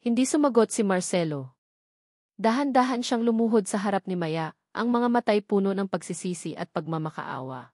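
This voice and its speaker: A woman's voice: mid-range voice, animated, moderate pace, darkish tone, dry recording, studio quality. A woman with a Filipino accent narrates a scripted romance story in a dramatic storytelling style.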